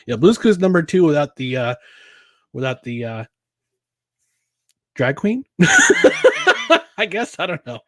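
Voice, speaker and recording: A man's voice: a little high, sing-song, unhurried, long pauses, background noise. A man hosting a casual solo podcast about sports and beer, rambling with chuckles, filler words and restarts. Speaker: podcast host.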